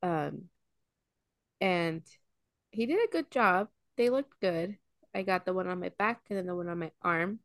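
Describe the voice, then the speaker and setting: A woman's voice: fairly low pitch, unhurried, long pauses, dry recording, studio quality. A young woman co-hosting a casual podcast, telling stories between friends, full of "like" and "um," with a cold coming on. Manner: chatty storyteller, lots of "like" and "um". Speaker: podcast co-host.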